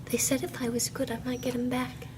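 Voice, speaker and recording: An actress playing a naive, guilt-ridden young captive who whispers in a hesitant, childlike, pleading way in an audio drama. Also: female voice, fairly high pitch, level tone, a little fast, noisy background, close to an everyday voice. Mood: anxious, remorseful. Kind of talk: audio drama acting, hushed confiding.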